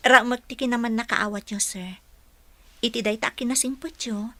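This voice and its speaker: A woman's voice: a little high, steady tone, moderate pace, bright, forceful tone, dry recording. A woman acting a modest young office employee in a radio drama, speaking respectfully and earnestly. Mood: gently apologetic.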